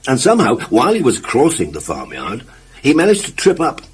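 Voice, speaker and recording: A man's voice: medium pitch, huge pitch swings, a little fast, slightly rough. A man narrating a scripted children's story expressively, with emphatic phrasing and asides to the listener.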